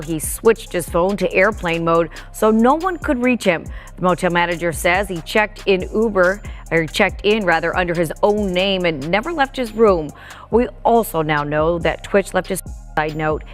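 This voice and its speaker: A woman presenting a scripted television news report. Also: lowish voice.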